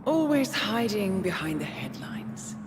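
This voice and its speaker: Composed, expressive woman spy handler in a game. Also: mid-range voice, background noise. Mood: cold, taunting. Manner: measured, deliberate, articulate. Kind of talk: dramatic video game cutscene acting. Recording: music under the voice.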